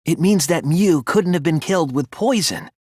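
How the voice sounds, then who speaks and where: A man voicing an earnest young male student detective in a video game, explaining his deductions in a deliberate, thoughtful way. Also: fairly high pitch, expressive, darkish tone, breathy edge, no echo, clean studio sound. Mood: measured reasoning. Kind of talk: scripted video game voice acting, deduction speeches.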